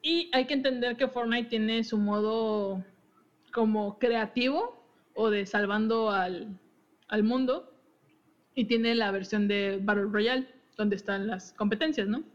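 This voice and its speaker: A woman's voice: a little high, measured pace. A young woman hosting a podcast, casually explaining game lore with frequent giggles and restarts. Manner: giggly, chatty, self-interrupting. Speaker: podcast host. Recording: dull lo-fi audio.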